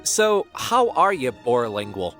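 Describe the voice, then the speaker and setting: A man playing an excitable, curious young male pegasus in a scripted audio drama, with an eager, chatty manner. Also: mid-range voice, takes it slowly, soft background music. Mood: amazed, eager.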